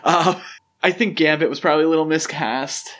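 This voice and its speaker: A man's voice: a little high, brisk, fairly bright tone. A man co-hosting a podcast, discussing film casually in an analytical, explanatory way. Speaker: podcast co-host.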